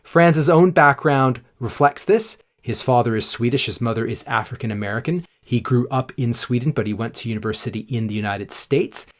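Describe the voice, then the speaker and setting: A man hosting a podcast, reading an emphatic, upbeat introduction and then welcoming his guest. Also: mid-range voice. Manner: upbeat, emphatic, drawn-out words for stress. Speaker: podcast host. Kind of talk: scripted podcast intro and interview.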